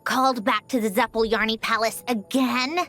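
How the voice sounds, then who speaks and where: An actress playing a haughty, refined marionette villain in a video game, prim and theatrical, with dismissive huffs and a softer side. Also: female voice, a little high, steady tone, normal speed, soft background music. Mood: annoyed, dismissive. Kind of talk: scripted video game character voice lines.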